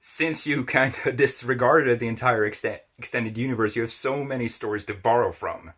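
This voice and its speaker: A man in his twenties chatting casually as a podcast guest, with halting, hesitant phrasing. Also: medium pitch, a bit bright, slightly rough. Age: 20s. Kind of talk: casual chat about film and TV.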